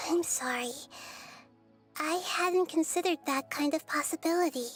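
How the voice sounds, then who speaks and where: A woman voicing a young girl goddess in a game, gentle, apologetic and reassuring, with a soft, high childlike voice. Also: a little slow, soft background music. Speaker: game character. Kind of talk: dubbed game dialogue.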